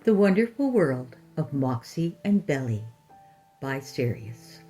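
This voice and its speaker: A woman's voice: a bass voice, sing-song, slow pace, dark tone, breathy edge, faint music behind. A woman hosting and narrating fiction in a scripted storytelling style. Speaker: podcast host and story narrator.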